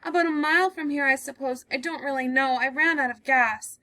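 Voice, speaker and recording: A woman's voice: high-pitched, steady tone, a little fast, pushed and bright, no echo, voice pushed up. A woman voicing a terrified, sobbing young woman. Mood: frightened. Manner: breathless, pleading, sobbing.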